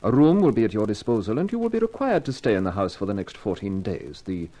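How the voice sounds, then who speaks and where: Suave Arabian sheik, very low pitch, lively intonation, measured precise diction, formal, vintage radio drama. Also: male voice. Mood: smooth, formal. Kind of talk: 1950s radio drama acting.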